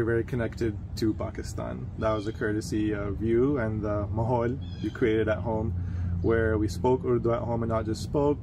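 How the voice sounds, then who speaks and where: Guy answering interview questions outside, earnest and thoughtful, lots of ums, mid pitch, soft crickets in the background. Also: noisy background. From United States. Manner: earnest, reflective, with many pauses and fillers. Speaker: interviewee, biomedical engineer.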